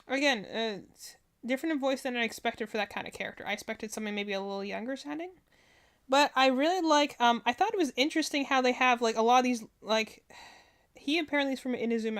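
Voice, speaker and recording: Rambling, higher-voiced woman streamer reacting. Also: a bit bright. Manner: rambling, thinking aloud, informal. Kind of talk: casual live reaction commentary on video game trailers.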